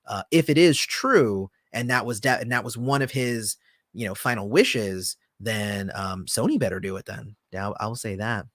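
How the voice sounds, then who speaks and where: A man hosting a casual livestream, answering viewer comments in a relaxed, rambling way with lots of fillers, often trailing off softly. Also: on the low side, moderate pace, dry recording, studio quality. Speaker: channel host.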